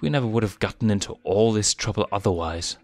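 Cocky boyish lion cub prince, pitched up; male reader doing the voice. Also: fast, no echo, natural voice.

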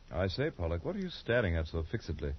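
A man's voice: deep voice, sing-song, brisk, a little hiss or hum. A man playing a genteel, fussy London banker, polite and proper, in a post-war radio drama. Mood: businesslike. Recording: dull vintage radio recording.

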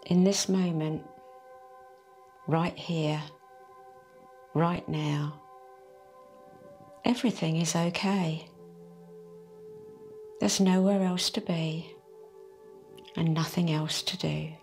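A woman leading a guided meditation in a soft, soothing, unhurried way. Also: lowish voice, steady tone. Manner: slow, soft and soothing, drawn-out phrases. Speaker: meditation teacher. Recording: faint music behind the voice.

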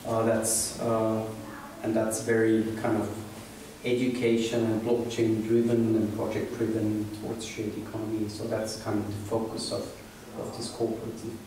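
A man's voice: mid-range voice, warm tone. A middle-aged man giving an informal meetup presentation to a seated audience, talking hesitantly with many fillers and restarts. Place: small meeting room with seated audience, indoors. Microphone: room camera from the back of the audience. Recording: echoey room, distant from camera, noisy.

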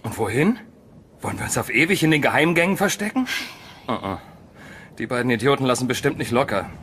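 A man's voice: medium pitch, big pitch swings, a little fast, a bit bright, a little hiss or hum. A man playing a sceptical, exasperated fugitive in a horror radio play. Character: sceptical, exasperated man wrongly accused of murder. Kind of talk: horror radio drama acting.

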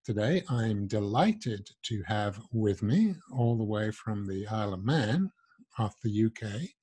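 A man's voice: lowish voice, lively intonation, a little slow, warm tone, breathy edge. An older man hosting a podcast interview from his home study, friendly and lightly joking as he introduces his guest on a video call. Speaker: podcast host. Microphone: wired earbud mic on a video call.

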